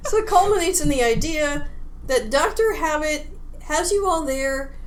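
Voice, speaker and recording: Woman, raised pitch, casual explanatory chat, amused, halting, slight background noise. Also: animated. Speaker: podcast co-host.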